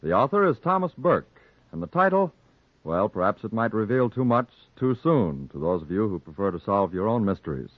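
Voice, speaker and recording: A man's voice: fairly low pitch, sing-song, dry recording. Formal 1940s radio host, tinny man's voice. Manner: formal, measured. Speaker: radio host introducing the play. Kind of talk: 1940s radio announcing, scripted. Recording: tinny, narrow-band 1940s radio recording.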